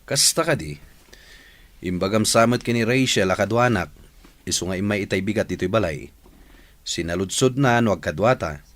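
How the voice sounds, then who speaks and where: A man's voice: fairly low pitch, moderate pace, pauses often, a bit bright, close to an everyday voice. A man playing a gossipy, indignant friend who bears bad news in an Ilocano Filipino radio drama. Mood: scolding.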